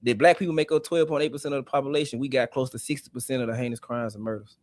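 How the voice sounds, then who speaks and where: A young man talks in an animated, outspoken way as a guest on a live-stream panel. His voice sits at an ordinary pitch and sounds dry and close, with no room echo. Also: very fast. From South Memphis, USA. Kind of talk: casual live-stream debate.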